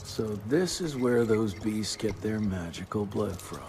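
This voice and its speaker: An actor playing a gruff Wild West agent in a video game, blunt and folksy, with an American accent. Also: male voice, on the low side, expressive, unhurried, noisy background. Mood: grim. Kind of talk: video game cutscene acting. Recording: music behind the voice.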